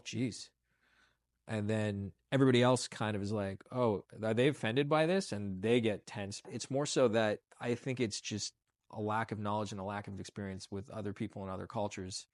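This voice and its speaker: Former casting man, measured and reflective, slightly low voice, dry sound. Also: dry recording. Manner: reflective, searching for words. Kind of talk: interview commentary.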